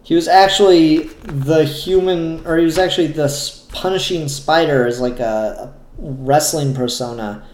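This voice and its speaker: A man's voice: a little high, moderate pace, darkish tone, echoey room, a little hiss or hum. A man giving casual, opinionated review commentary on comic books in a rambling, enthusiastic way.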